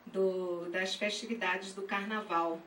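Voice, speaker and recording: Slow-paced northeastern Brazilian woman, echoey and distant. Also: medium pitch, fairly even intonation, fairly bright tone. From Pernambuco, Brazil. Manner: halting, checks in often with tag questions. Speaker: graduate dance student and teacher presenting research. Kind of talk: academic conference presentation. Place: echoey session room at a university dance school. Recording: roomy, distant mic, faint noise.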